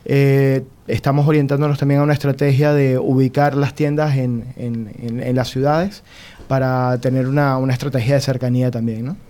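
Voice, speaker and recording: A man with a Venezuelan Spanish accent answers questions as a radio interview guest. He speaks at a middle pitch, pausing often on fillers and restarting his phrases as he explains. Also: flat delivery, warm tone. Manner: hesitant, many fillers and restarts. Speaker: guest, company security chief.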